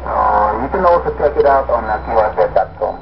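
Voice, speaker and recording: A man's voice: on the low side, expressive, normal speed, creaky. A man with a Filipino accent chatting casually as an amateur radio operator, mixing in English and radio jargon. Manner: code-switches into English, uses radio jargon. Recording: dull, very noisy amateur radio signal.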